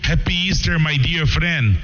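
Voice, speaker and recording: A man's voice: a little high, a little slow, pushed and bright. Brazilian guy on ham radio, broken english in short bits, dull sound, big reverb. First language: Portuguese. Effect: radio transmission. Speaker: amateur radio operator. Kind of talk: ham radio contact chat. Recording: muffled radio audio with long reverb.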